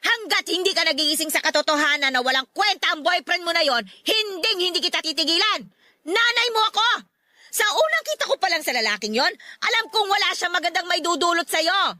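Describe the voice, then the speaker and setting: A shrill, scolding radio-drama mother. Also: lively intonation, fairly quick, pushed and bright, dry recording, clean studio sound, exaggerated voice. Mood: angry, scornful. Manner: nagging, harsh, sharply emphatic. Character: angry, scolding mother who scorns her daughter's boyfriend. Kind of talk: Filipino radio drama acting.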